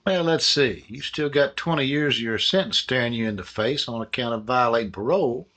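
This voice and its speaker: An actor playing a calm, methodical male detective partner in a radio drama. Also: medium pitch, a little fast. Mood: pressing.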